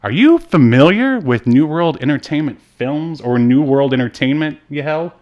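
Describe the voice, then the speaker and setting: A man's voice: fairly high pitch, lively intonation, moderate pace, a little mellow, dull audio. A man co-hosting a casual movie review podcast, rambling and hesitant with frequent asides. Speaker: podcast co-host.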